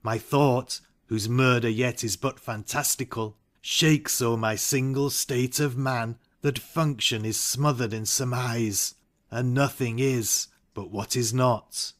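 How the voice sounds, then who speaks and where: An actor playing an ambitious, brooding warrior thane in a dramatic Shakespeare reading. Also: male voice, mid-range voice, steady tone, takes it slowly, close to an everyday voice. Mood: brooding, troubled.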